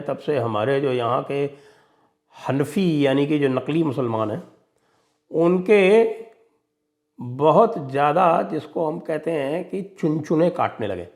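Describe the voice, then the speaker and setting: A man's voice: fairly high pitch, a little mellow. A man delivering opinionated political and religious commentary in an emphatic, sarcastic and mocking manner. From India. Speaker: commentator and show host.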